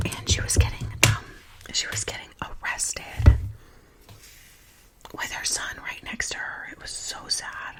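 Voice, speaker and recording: A young woman whispering a chatty, rambling makeup tutorial into a close mic, drifting into tangents and softly repeating words. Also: unhurried. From United States. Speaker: ASMR makeup vlogger.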